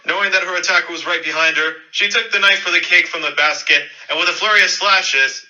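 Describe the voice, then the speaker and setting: A man's voice: high-pitched, steady tone, fast, bright, forceful tone, highs cut off, a little hiss or hum. A man narrating a scripted fairy-tale radio play in a dramatic storytelling manner.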